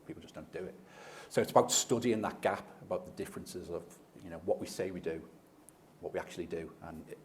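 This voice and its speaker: A man with a very low voice speaks as a panelist, explaining his ideas fluently and conversationally. A faint room ambience sits behind him. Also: a little mellow, a little hiss or hum. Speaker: panelist, behavioural scientist. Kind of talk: panel discussion.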